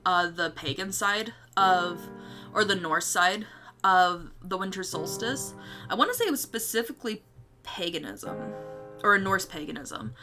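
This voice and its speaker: A woman chatting casually as a livestream host, with a giggly, rambling manner and some casual swearing. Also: medium pitch. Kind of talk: casual livestream chat about own story notes.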